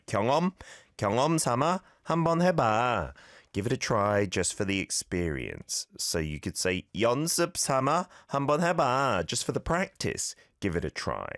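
A man with a lowish voice hosts a casual radio language segment, his pitch swinging up and down as he repeats phrases slowly for listeners. He is easygoing and a little self-mocking. The sound is dry and close, with no room around it. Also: a bit bright, no room sound. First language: not Korean. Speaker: radio show host teaching Korean. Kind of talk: casual radio lesson chat.

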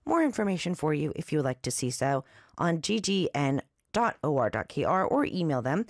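A woman hosting a radio show, chatting and reading announcements in a raspy, slightly nasal voice after an allergy attack. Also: deep voice, no room sound, studio quality. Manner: chatty, loose and lively, with fillers. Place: radio studio. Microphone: studio microphone.

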